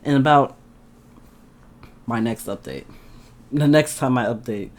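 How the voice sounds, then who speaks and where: A man's voice: medium pitch, unhurried. Laid-back young man chatting to camera. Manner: chatty, laughs often, animated bursts. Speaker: vlogger. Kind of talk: casual chat to camera. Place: indoors at home.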